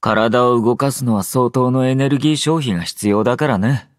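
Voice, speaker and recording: An actor playing a drowsy, low-energy young male android soldier in an anime game, aloof yet gentle, with a languid, understated delivery. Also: normal speed, no echo, clean studio sound, close to an everyday voice. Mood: drowsy, nonchalant. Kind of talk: Japanese anime game character voice lines.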